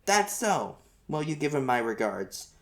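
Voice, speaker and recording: A man puts on a kindly old fishing captain for a storybook. He speaks slowly and without hurry, lifting his voice a little as an aged boatman would. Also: on the high side, very animated, pitched above the performer's own voice. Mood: calm, concerned. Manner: slow, unhurried speech. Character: elderly kindly fishing captain, slow-talking.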